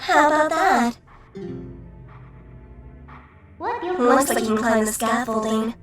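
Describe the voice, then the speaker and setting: Woman voicing a high, mocking little shadow imp. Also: normal speed, lightly acted. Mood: playful, flippant. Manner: teasing, mocking, sardonic. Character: impish, teasing female shadow creature companion, mischievous and mocking. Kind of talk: fan-made video game voice acting. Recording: roomy, distant mic under music.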